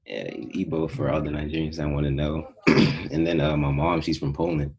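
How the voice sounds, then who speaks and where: A man's voice: rumbling low, fairly even intonation, normal speed, gravelly, darkish tone, dull audio. A young man from Houston, a podcast guest, chatting in a laid-back, slangy way with trailing phrases. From Houston, Texas. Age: young adult. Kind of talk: casual chat.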